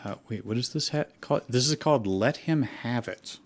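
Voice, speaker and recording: A man's voice: mid-range voice, normal speed. A man hosting a casual livestream, speaking in an informal, somewhat hesitant way as he introduces things and reads aloud. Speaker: host.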